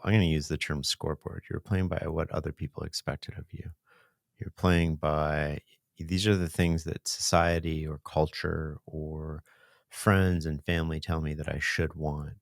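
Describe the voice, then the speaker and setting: A man's voice: rumbling low, gravelly, dry recording, studio quality. A man hosting a podcast, asking earnest, probing questions and restating ideas in his own words. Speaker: podcast host. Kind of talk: interview questions.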